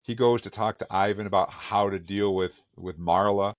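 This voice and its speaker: Low-voiced man, animated and joking. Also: normal speed, no room sound, muffled recording. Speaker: podcast co-host. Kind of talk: casual review chat.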